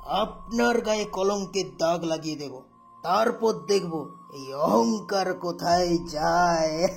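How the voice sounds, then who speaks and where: A woman doing a slow menacing gang boss. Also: on the low side, vocal fry, soft background music. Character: arrogant vengeful male gang leader. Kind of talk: audio story voice acting.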